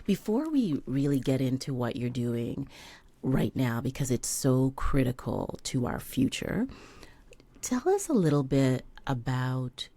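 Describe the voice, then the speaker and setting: A woman's voice: rumbling low, sing-song, a little slow, mellow. A woman hosting an interview show, speaking in an effusive, encouraging way with dramatic emphasis. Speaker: podcast host and coach.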